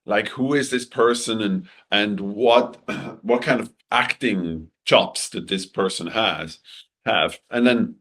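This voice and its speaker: Bright-voiced Swiss man, losing his voice. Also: on the low side, breathy edge. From Switzerland. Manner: halting, many restarts and fillers. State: losing his voice, clearing throat. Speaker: film director. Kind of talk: interview answers.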